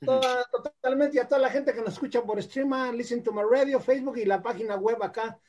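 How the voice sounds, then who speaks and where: A man's voice: very high voice, fairly quick, darkish tone. A man co-hosting a radio show, joking around and reading out listener greetings. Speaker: radio co-host. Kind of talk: radio interview chat.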